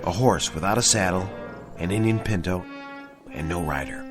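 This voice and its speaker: An actor playing a grave, commanding army sergeant in a radio drama, intense and deliberate. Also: male voice, low-pitched, natural voice. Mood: grave, brooding. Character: grave, commanding male army sergeant, convinced of an eerie theory. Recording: music bed under radio drama dialogue.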